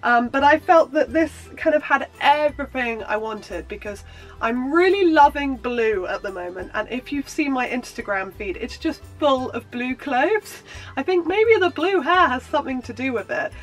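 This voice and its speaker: A woman's voice: sits high, lively intonation, moderate pace, soft background music, rough recording. Bubbly woman with a stuffy cold. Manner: bubbly and rambling, with many ums and apologies. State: congested from a cold. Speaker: sewing vlogger. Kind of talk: casual chatty show-and-tell.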